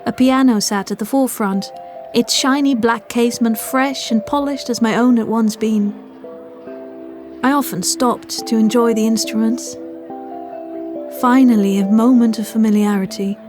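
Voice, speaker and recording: High-voiced woman narrating a horror story. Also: fairly even intonation, moderate pace, music in the background. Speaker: audio fiction narrator. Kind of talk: first-person scripted horror story narration.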